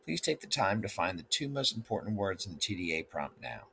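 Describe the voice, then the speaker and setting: A man's voice: low voice, very animated, brisk, pushed and bright, slight background noise. A male teacher, guiding students through an instructional read-aloud lesson.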